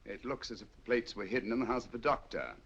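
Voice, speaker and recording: A man playing a shrewd, calm detective in an old radio drama, speaking in a measured, courteous, dryly confident way. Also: mid-range voice, vocal fry, husky. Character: shrewd, calm male detective, middle-aged. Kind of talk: 1940s radio acting. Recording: dull old radio audio.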